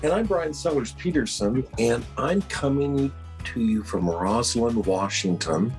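A man's voice: medium pitch, expressive, unhurried, a little mellow, faint music behind. A man co-hosting a podcast in a conversational way, introducing himself and guests and putting questions. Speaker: podcast co-host, church missioner.

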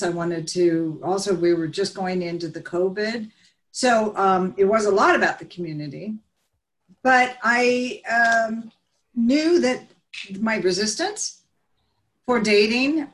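A woman in her sixties, a member of the coaching group, speaking in an earnest, reflective way in a casual chat. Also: fairly low pitch. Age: 60s.

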